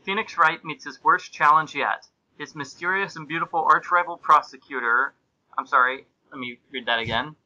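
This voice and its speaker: Bright, higher-voiced man reading aloud. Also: normal speed, dull audio. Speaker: podcast host and narrator. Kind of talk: comic amateur reading of fan fiction aloud.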